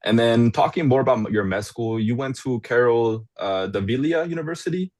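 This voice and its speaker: A man co-hosting a podcast interview, chatting casually and quickly agreeing with his guest. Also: mid-range voice, a little mellow. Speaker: podcast co-host.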